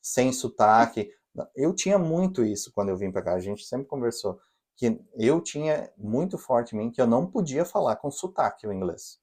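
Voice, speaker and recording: A man's voice: medium pitch, sing-song, moderate pace, a little mellow. A Brazilian man co-hosting a podcast, explaining and advising listeners directly in a casual chat. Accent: Brazilian Portuguese. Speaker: podcast co-host. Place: living in Canada.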